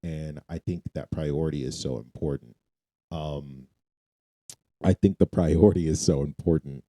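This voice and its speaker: A man's voice: very deep voice, fairly even intonation, a little slow, warm tone, dry recording. A man co-hosting a podcast, talking casually about faith and repeating phrases for emphasis. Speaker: podcast co-host.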